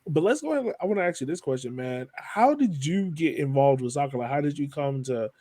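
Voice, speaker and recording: A man's voice: on the high side, animated, brisk, mellow. A man hosting a podcast, chatting informally and familiarly as he interviews a guest. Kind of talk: casual conversational podcast interview.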